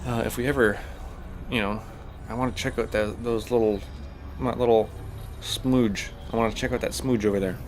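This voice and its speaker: A man's voice: fairly low pitch, steady tone, moderate pace. A man hosting a gaming channel, chatting casually while he plays, rambling with lots of fillers and a slightly stuffed-up voice. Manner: rambling, heavy on fillers and restarts. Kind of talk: casual chat while playing a space game.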